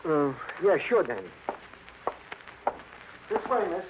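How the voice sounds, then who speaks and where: A high, bouncy-voiced police sergeant, folksy and deferential to his boss. It is old radio drama acting, on a dull recording. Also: very animated, slow pace. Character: eager, deferential male police sergeant sidekick. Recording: dull, slightly noisy vintage radio recording.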